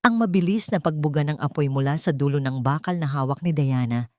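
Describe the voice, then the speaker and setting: Low-voiced woman narrating dramatically. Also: fairly quick, dark tone, dry recording, studio quality. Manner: solemn, suspenseful.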